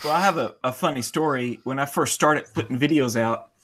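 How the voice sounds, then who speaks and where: A man's voice: mid-range voice, fairly quick, fairly bright tone. A man with a Southern US accent hosting an interview on a video call, folksy and jokey. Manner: folksy, jokey, laughs a lot. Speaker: interview host, video maker. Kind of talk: casual interview chat.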